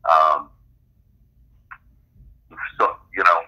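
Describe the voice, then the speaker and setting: A man's voice: rumbling low, steady tone, drawn-out words, highs cut off. A middle-aged man explaining his own creative work as a guest in a casual interview. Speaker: interview guest, game designer and author.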